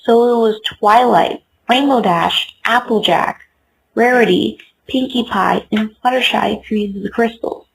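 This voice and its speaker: A woman's voice: fairly high pitch, a little slow, gravelly, slight background noise, rough recording. A woman voicing an earnest, hopeful young mare who leads her friends, in a fan comic dub. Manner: earnest, rallying.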